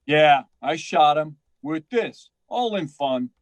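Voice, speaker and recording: A brash, cocky chauffeur from an old-time radio play , played by a man. His voice sits a little high and is closely recorded with a dry sound, and he talks in blunt slang. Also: expressive, takes it slowly, no room sound. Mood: cool, flippant. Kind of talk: 1940s radio acting.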